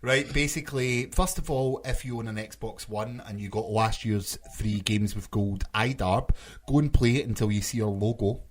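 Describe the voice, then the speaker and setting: A man's voice: lowish voice, normal speed, few pauses. A man hosting a casual podcast, steering the chat and bantering with the panel. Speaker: podcast host.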